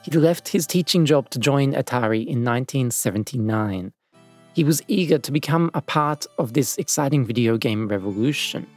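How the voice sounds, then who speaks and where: A man's voice: mid-range voice, a little mellow, no echo. A man hosting and narrating a scripted documentary story. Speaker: podcast host and narrator.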